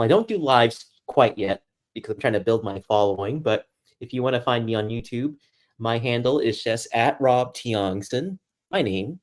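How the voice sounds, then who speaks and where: A man from Boston with an American accent, chatting casually and with self-deprecation as a guest sports journalist. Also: medium pitch. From Boston, United States. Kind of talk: casual livestream chat.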